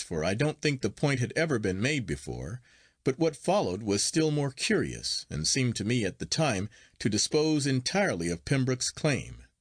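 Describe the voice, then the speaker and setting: Expressive male literary narrator, dry close sound. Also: medium pitch, no echo. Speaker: audiobook narrator. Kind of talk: scripted literary story narration.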